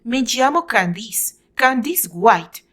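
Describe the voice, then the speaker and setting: A woman voicing a proud, indignant young lady who stresses each syllable deliberately in a told story. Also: fairly high pitch, expressive, a bit bright, full character voice. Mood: indignant, weary.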